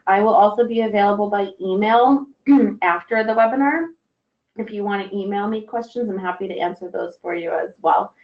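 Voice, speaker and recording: A woman career counselor presenting a webinar, slightly hoarse, friendly, with frequent ums. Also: mid-range voice, moderate pace, echoey room, lo-fi sound. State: a little hoarse. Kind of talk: presentation lecture.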